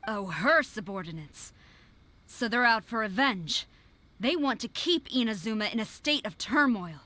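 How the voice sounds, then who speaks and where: A woman's voice: on the high side, lively intonation, normal speed, pushed and bright. A woman voicing a proud, disciplined military general who speaks formally and gives orders in a game. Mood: stern. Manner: formal, commanding, clipped. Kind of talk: game voice acting.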